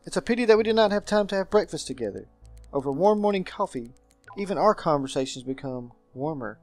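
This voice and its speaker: A man voicing a brooding gentleman guardian in a game, telling his story in a formal, melancholy manner. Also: a little high, expressive, fast talker, soft background music, lightly acted. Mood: courteous.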